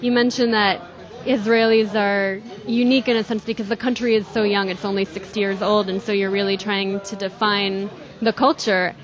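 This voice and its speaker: A woman podcast interviewer asking measured, polite questions and thanking her guest. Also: mid-range voice, little pitch movement, highs cut off.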